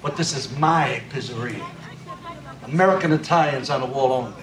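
Guy playing gruff combative italian-american pizzeria boss, movie scene, echoey, mid pitch. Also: brisk, crowd noise, close to an everyday voice. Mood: indignant. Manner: combative, blunt. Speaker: film actor. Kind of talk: dramatic film dialogue. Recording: noisy, echoey film soundtrack.

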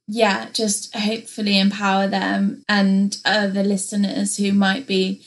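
A young woman from England, co-hosting a podcast in a casual, open, chatty way with many fillers. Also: mid-range voice. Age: young adult. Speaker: podcast co-host.

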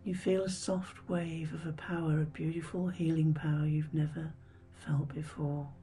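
A woman leading a scripted guided meditation in a soothing, hushed, breathy voice with gentle pauses. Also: low voice, flat delivery. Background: background music.

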